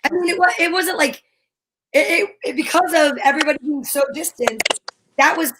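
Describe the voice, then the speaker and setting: A woman talk show host chatting casually, telling stories in an animated, rambling way. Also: high-pitched, a little fast, rough recording. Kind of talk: casual chat.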